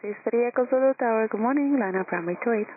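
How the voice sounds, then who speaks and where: A tinny radio transmission with faint static carries a woman controller's voice. She rattles off clearances fast, in clipped, procedural phrases, and stays courteous. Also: on the high side. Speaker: airport tower air traffic controller. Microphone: air traffic radio.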